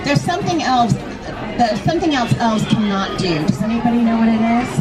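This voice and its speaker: A woman reading a storybook aloud to children in an expressive way, often putting questions to them. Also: fairly high pitch, a little fast. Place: live reading inside a large toy shop. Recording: busy echoey store, crowd and loud music.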